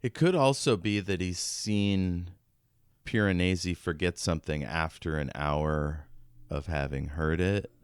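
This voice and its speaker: A man's voice: low voice, a little slow, smooth, no room sound, clean studio sound. A man co-hosting a podcast, casually discussing a book in an informal, musing way. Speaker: podcast co-host.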